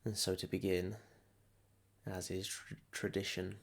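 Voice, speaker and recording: Guy teaching meditation, deep gravelly voice, calm and soothing, lots of pauses. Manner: soothing, unhurried, with long pauses between short phrases. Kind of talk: guided meditation, calm instruction.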